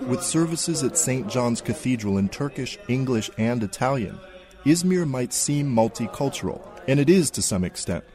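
Male radio correspondent, scripted report, mid-pitched voice, measured reading. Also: expressive, warm tone, soft background music.

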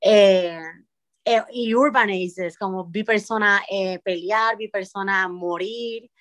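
A Puerto Rican woman in her thirties talks through her experiences slowly and thoughtfully, often pausing and restarting a phrase. Her voice sits at an ordinary pitch for a woman. The sound is close and dry, with no room around it. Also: fairly even intonation, fairly bright tone, no room sound. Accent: Puerto Rican Spanish. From Puerto Rico. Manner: thoughtful, with frequent pauses and restarts. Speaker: podcast guest, parent coach. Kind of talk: reflective conversational interview.

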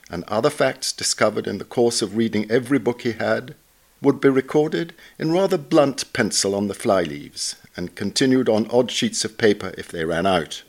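A man reading a scripted obituary aloud as narrator, in a measured, formal manner. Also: mid-range voice. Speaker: reader, narrator.

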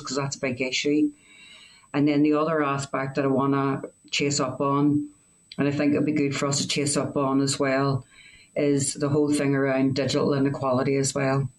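A man speaking earnestly as a committee member, halting with restarts and fillers. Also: mid-range voice, low-quality recording. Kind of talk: committee meeting remarks.

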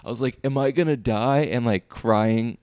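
A man's voice: mid-range voice, moderate pace, a little mellow, clean tone, dry recording. A young man co-hosting a casual podcast, self-mocking, laughing a lot and throwing in dry wisecracks. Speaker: podcast co-host. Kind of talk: casual chat.

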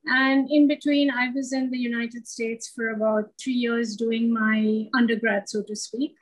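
A woman's voice: fairly high pitch, level tone, measured pace, poor audio. A middle-aged woman, from Sri Lanka and India, answering questions conversationally as an interview guest.

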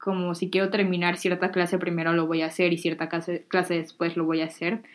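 A woman's voice: fairly low pitch, level tone, a little fast. A teenage girl from Mexico with a Mexican accent, talking casually and candidly to her viewers, dropping slang and poking fun at herself. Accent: Mexican Spanish. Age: teens. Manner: chatty, candid, self-deprecating, uses Mexican slang. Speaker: vlogger. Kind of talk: casual talk to camera.

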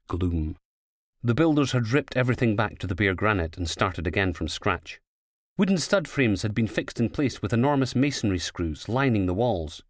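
A man's voice: on the low side, no echo, studio quality. A Scottish man reading a scripted crime novel as its narrator. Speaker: author reading own audiobook.

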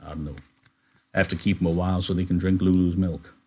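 A man voicing a calm, dignified ranch team leader, quiet and matter-of-fact, in a novel read aloud. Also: low-pitched, quick pace, slightly rough.

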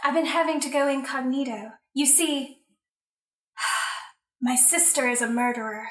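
A woman's voice: sits high, far from the mic. A woman playing a mysterious, melodramatic young-sounding sister in an improvised comic radio mystery. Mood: ominous, secretive. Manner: melodramatic, deliberate. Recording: echoey room, off the mic.

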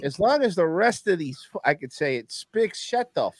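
Animated man with a lively mocking voice. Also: high voice, moderate pace, no echo. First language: Spanish. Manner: animated, swings into mocking voices, laughs often. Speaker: podcast guest. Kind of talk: casual political chat.

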